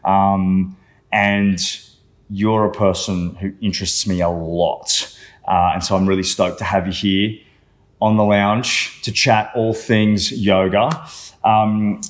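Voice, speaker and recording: A man with an Australian accent hosting a relaxed studio interview, enthusiastic and quick to affirm, often restarting his sentences. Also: fairly low pitch. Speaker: podcast host. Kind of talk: casual interview chat. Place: studio lounge.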